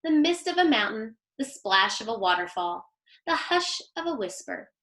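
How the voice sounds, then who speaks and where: Gentle high-voiced storybook mama, read by a woman. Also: sing-song, normal speed, echoey room, rough recording. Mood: tender, loving. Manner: tender, admiring. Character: gentle, loving mother praising her young sons.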